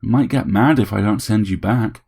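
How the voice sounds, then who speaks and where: A man's voice: on the low side, normal speed, vocal fry, darkish tone, slightly rough. A man voicing a middle-aged, washed-up programmer in an audiobook story, wry and scrappy. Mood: gruff, softening.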